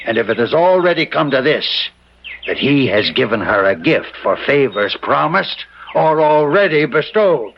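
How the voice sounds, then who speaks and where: A man's voice: medium pitch, very animated, moderate pace, gravelly, a little hiss or hum. An actor playing a stern, bearded old settler father who whips his daughter, speaking in an archaic, preaching way in an old radio drama. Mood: contemptuous. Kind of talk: 1970s radio drama acting. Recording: muffled old radio recording.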